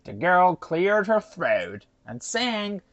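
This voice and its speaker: A woman's voice: on the low side, big pitch swings, slow, highs cut off. A woman storyteller narrating a children's folktale expressively.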